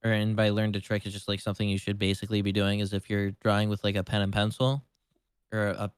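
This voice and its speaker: A man's voice: on the low side, monotone, quick pace, clean tone, no room sound, studio quality. A man in casual livestream chat as co-host, rambling with lots of "like" and casual swearing. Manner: rambling, heavy use of "like"; casually foul-mouthed.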